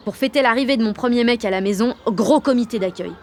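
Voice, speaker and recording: A woman's voice: a little high, quick pace, darkish tone, slight background noise, close to an everyday voice. An actress playing a blunt, fiery sixteen-year-old French girl who narrates an audio drama in sardonic slang. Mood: cheeky, upbeat. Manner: colloquial, heavily slangy, sardonic.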